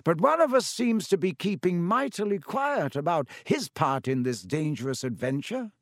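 A man voicing a kindly, wise old male wizard headmaster, gentle and measured. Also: sits high, sing-song, dry recording, studio quality, pitched above the performer's own voice.

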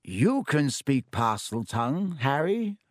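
A man voicing a calm, kindly old male headmaster wizard, speaking slowly and gently in an audiobook. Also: on the high side, no room sound, studio quality, voice pushed up, exaggerated voice. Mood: calm, wise. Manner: slow, gentle, measured speech. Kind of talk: audiobook character voice.

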